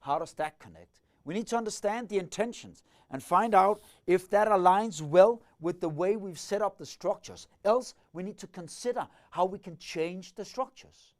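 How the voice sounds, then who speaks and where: A man's voice: high voice, normal speed, hardly any pauses. A middle-aged man lecturing on leadership, methodically working through the ideas and asking himself questions as he goes. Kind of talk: explanatory lecture.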